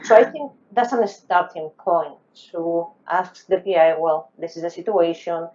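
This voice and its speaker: A woman with a deep voice speaks as a guest advising younger researchers. Her delivery is emphatic and halting, with non-native phrasing. The audio is a bit dull and lo-fi, like an online call. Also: darkish tone. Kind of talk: conversational advice in a panel interview.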